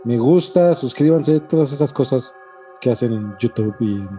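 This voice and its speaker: A man's voice: medium pitch, expressive, normal speed, mellow, soft background music. A man with a Mexican accent, hosting and chatting casually on a podcast. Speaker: podcast host. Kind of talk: casual podcast chat with announced intro.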